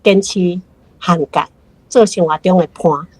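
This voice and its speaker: A woman's voice: lowish voice, measured pace, breathy edge, no room sound. A woman with a Taiwanese accent giving a prepared, reflective commentary on a story as a guest.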